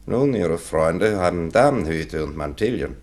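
An actor playing a coldly polite, menacing wronged husband in a radio play, formal and sardonic. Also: male voice, low-pitched, expressive, measured pace, a little mellow. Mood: icily polite. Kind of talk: German-language radio play acting.

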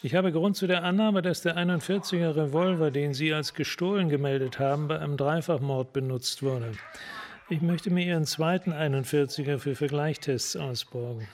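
An actor playing a hard-boiled, bossy police detective in a German radio crime drama, curt and matter-of-fact. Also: male voice, on the high side, moderate pace, close to an everyday voice. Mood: tough, pressing.

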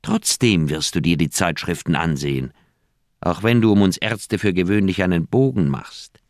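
Deep-voiced earnest doctor. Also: male voice, moderate pace, no echo, studio quality. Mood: insistent, persuading. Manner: emphatic, wordy, persuasive. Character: earnest middle-aged Swiss doctor, insistent, loyal friend. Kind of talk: German radio crime drama acting.

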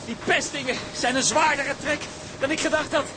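Gruff, loyal deckhand, strikingly high pitch, radio play with clear ship noise. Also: male voice, fairly even intonation, normal speed. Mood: grumbling. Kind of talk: radio drama acting.

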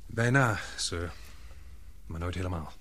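An actor playing a calm, devoted young man in a radio play, speaking in a reflective, restrained way. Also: deep voice, measured pace, husky, full character voice. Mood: matter-of-fact. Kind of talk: radio drama acting.

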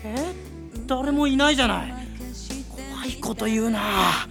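A woman's voice: high-pitched, unhurried, gravelly, over music. A woman playing a brash, teasing young model in a Japanese anime radio drama, blunt and breezy. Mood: dismissive.

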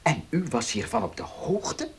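A man's voice: medium pitch, big pitch swings, unhurried, slightly rough, exaggerated voice. An actor playing a proud, distrustful male heir in a Dutch radio play, speaking formally, bluntly and emphatically. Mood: accusing.

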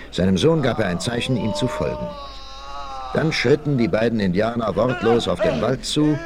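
A man's voice: lowish voice, noisy background. A man giving scripted dramatic narration in the manner of a 1960s radio play.

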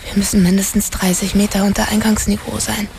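A woman's voice: medium pitch, flat delivery, fast talker, gravelly, darkish tone, slight background noise, lightly acted. An actress playing a determined young woman in a radio drama, earnest, probing and a little tentative. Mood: tense wonder. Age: young adult. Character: determined young woman searching for hidden truth.

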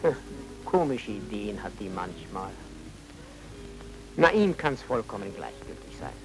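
A shady baron in a radio drama. His voice swings widely in pitch as he charms with courtly politeness while dodging every commitment. Faint music sits behind him. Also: sing-song, a little slow, poor audio. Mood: smoothly evasive. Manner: courteous, effusive, theatrical, evasive.

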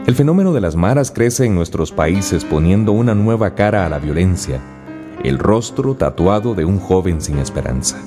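Deep, solemn narrator. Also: male voice, lively intonation, normal speed. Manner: solemn, poetic delivery. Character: solemn documentary-style male narrator. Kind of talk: dramatic scripted narration. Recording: long reverb, music behind.

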